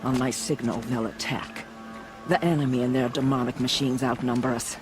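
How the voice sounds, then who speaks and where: An actor plays a stern, middle-aged war chief in a game, steady and commanding as he lays out a battle plan. His mid-pitched voice carries over a faint music bed. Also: moderate pace, natural voice. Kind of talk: video game cutscene acting.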